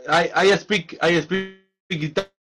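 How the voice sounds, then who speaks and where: A man, a football coach, talking in a casual interview in halting non-native English with many restarts. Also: sits high, steady tone, fairly bright tone, faint music behind.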